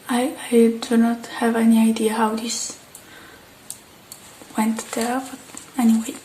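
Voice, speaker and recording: A woman doing an ASMR repair roleplay, speaking in soft, breathy whispers and hushed, halting murmurs close to the mic, addressing the listener. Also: fairly high pitch, level tone, a little hiss or hum, poor audio. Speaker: ASMR artist.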